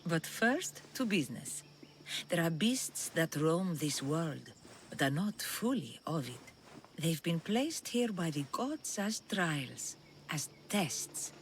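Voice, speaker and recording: Game role: imperious huntress, lowish melodic voice, measured and teasing, faint outdoor ambience. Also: female voice, big pitch swings, a little hiss or hum, heavily acted. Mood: commanding. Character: sly, commanding huntress devoted to a Greek goddess. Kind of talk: video game cutscene acting.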